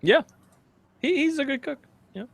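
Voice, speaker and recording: Lively-pitched man in casual banter. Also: normal speed, vocal fry. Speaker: podcast co-host.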